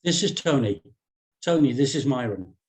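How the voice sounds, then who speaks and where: A man's voice: mid-range voice, animated, moderate pace, lightly acted. A man playing a slangy, streetwise young woman who coaxes and pleads with a friend in a screenplay read. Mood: casual, friendly. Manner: slangy, coaxing.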